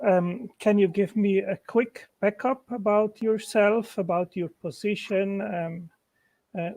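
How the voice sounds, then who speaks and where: A man from Switzerland with Italian and Swiss German as his first languages, hosting a casual interview and pausing often with fillers. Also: high-pitched, creaky, a little mellow, no echo. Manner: hesitant, many fillers. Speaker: host.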